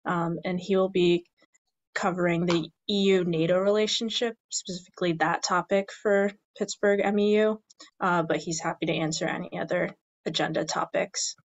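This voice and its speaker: A young woman moderating a webinar on a video call, speaking in a brisk, organized way. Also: on the low side, steady tone. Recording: dull video-call audio.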